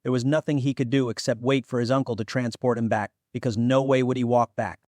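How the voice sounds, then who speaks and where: A man's voice: mid-range voice, fairly even intonation, brisk, darkish tone, dry recording. Male fantasy audiobook narrator, dry recording.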